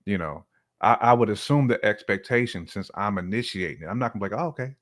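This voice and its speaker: A man with a fairly low voice talks as a guest on a relationship-style chat show. His delivery is informal and colloquial, giving opinions in everyday slang. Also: animated, fast talker. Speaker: podcast guest. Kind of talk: casual conversational opinion-sharing.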